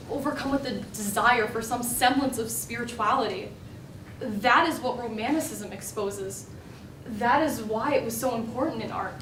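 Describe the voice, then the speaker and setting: An actress plays an opinionated woman who argues with earnest, emphatic frustration in a stage drama. Her voice is on the high side and comes from well back in a very echoey, noisy room. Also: natural voice. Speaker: stage actor. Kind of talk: stage play dialogue. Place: indoor stage, recorded from afar. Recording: echoey stage recording, distant mic, noisy.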